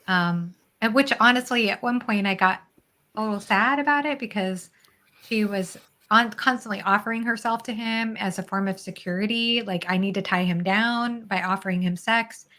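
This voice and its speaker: A woman's voice: medium pitch, normal speed, pushed and bright. A woman speaking casually as a panelist in a book discussion.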